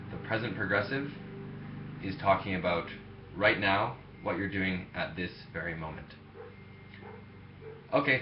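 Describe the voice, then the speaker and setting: A man in his twenties, a teacher, giving a relaxed webcam grammar lesson with many fillers. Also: sits low, noisy background. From Canada. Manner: relaxed, halting explanation with fillers. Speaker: ESL teacher. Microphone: webcam mic. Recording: echoey room, dull lo-fi webcam audio.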